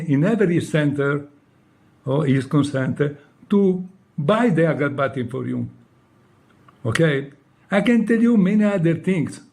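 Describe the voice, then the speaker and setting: A man with an Italian accent, speaking halting English as a second language, making a direct, reproachful appeal to an old acquaintance and ending his points with questioning tags. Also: fairly high pitch, a little mellow. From Italy. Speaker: former devotee addressing a leader. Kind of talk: direct personal appeal to camera.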